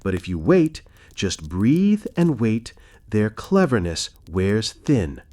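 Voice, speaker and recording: A man voicing a clever farmer's daughter in a bedtime tale, steady, calm and playfully sharp. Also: on the low side, slow pace, full character voice. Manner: steady, quiet authority.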